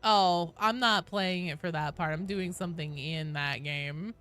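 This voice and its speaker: A woman's voice: on the low side, a little slow, fairly bright tone. A woman chatting casually to viewers as a game livestreamer, playful and joking, with some swearing. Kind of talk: casual livestream chat while gaming.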